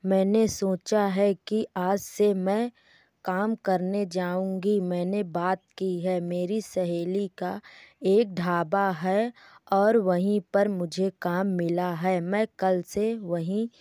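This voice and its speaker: A woman's voice: medium pitch, fairly even intonation, takes it slowly, warm tone, no room sound, clean studio sound, lightly acted. A woman playing a determined, caring poor young mother in a children's cartoon story. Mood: reassuring.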